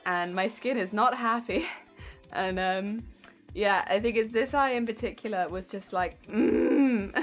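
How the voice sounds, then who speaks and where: A woman's voice: on the high side, a bit bright. A woman streamer chatting casually while she crafts, with a weak, warbly voice that is a little congested from hay fever, chatty and giggly as she greets her viewers. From United Kingdom. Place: home craft room.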